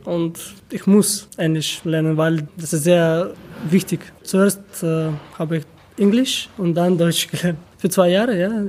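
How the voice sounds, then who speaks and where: A man's voice: on the high side, a little mellow. A man with a Russian accent, a language student, speaking German hesitantly in simple sentences.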